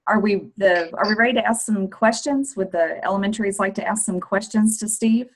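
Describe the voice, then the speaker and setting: A woman's voice: medium pitch, brisk, rough recording. A woman in her forties with an American accent, casually hosting a virtual tour on a video call and putting questions to guests. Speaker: host and moderator of a virtual farm tour. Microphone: computer mic on a video call.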